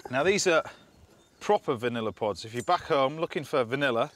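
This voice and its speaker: A man, a TV chef chatting through a cooking demonstration outdoors, instructive and quick to laugh. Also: mid-range voice, lively intonation, a bit bright. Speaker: TV chef host. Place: outdoors at a campsite.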